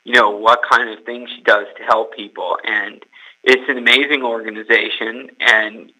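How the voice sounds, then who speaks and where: A young man from California speaks as a podcast guest. He is hesitant, repeats words and uses many fillers. His voice is very bright. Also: lowish voice, fairly even intonation, normal speed, low-quality recording. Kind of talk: casual podcast interview.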